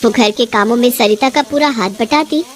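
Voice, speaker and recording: A woman's voice: on the high side, moderate pace. A woman narrating a scripted family story in a dramatic storytelling style.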